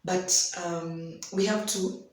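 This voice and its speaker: Hoarse Kenyan woman in an echoey room. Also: lowish voice, fairly even intonation. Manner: unhurried, mixes in Swahili phrases. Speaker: host of a daily devotional. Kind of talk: personal storytelling devotional talk.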